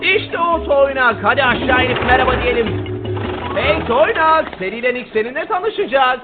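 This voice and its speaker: An exuberant high-pitched cartoon cat. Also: expressive, moderate pace. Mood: eager, bluffing. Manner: theatrical, exuberant, playful. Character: playful, boastful talking cat in a hat, male adventurer. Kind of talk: animated children's cartoon dub, rhyming and theatrical. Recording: tinny narrow-band audio under loud music.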